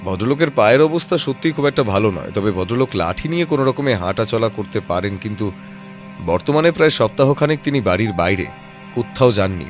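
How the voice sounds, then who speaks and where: A low-pitched male detective played by an actor in an audio drama, composed and analytical. He reasons aloud in a measured manner, with music underneath. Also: animated, natural voice. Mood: measured, puzzled.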